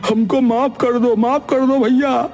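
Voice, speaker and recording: A man's voice: extremely high pitch, fairly even intonation, measured pace, darkish tone. A man voicing a whiny, panicky cartoon villager who pleads and exclaims. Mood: panicked pleading. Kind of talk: Hindi cartoon voice acting.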